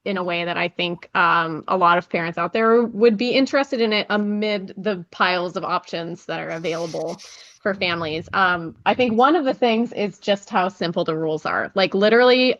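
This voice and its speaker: A woman's voice: medium pitch, fairly bright tone, dull audio. A woman hosting a casual interview podcast, speaking in an enthusiastic, affirming way. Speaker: podcast host interviewing a guest.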